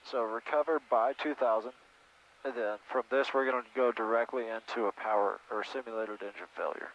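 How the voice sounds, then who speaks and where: A man's voice: medium pitch, steady tone, fairly quick. Muffled male flight instructor over engine noise. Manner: encouraging, instructive. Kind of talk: casual in-flight coaching. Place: small airplane cockpit in flight. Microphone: aviation headset intercom.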